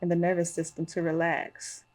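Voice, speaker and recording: A woman guest who is a wellness expert, calmly explaining in a casual conversation. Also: lowish voice, normal speed. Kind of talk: casual chat.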